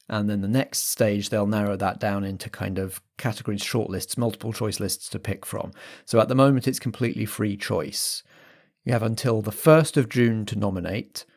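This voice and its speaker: A male compere talks chattily to podcast listeners in a medium-pitched voice. His address is familiar and a touch theatrical as he gives programme announcements. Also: a little mellow.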